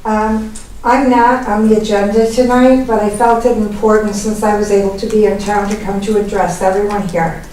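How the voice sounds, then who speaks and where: An older woman with a hoarse voice, speaking earnestly and with a sense of grievance during public comment at a council meeting. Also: mid-range voice, level tone, mellow. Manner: earnest, aggrieved, measured. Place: city council chamber. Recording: echoey chamber from far off, faint noise.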